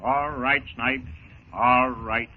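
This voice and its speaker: A wry pirate second-in-command, acted by a man, grudging and scheming, at an ordinary male pitch. It comes through very narrow, phone-like old radio sound with some hiss. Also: fairly even intonation, takes it slowly, natural voice. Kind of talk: 1930s radio serial acting. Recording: thin, hissy 1930s radio recording.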